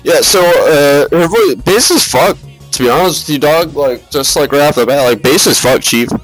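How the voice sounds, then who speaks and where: A man rambles through a casual comedic review as the host of the video. His delivery is halting, sweary and mock-serious, and faint music plays behind him. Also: on the high side, rough recording. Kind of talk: casual comedic slideshow commentary.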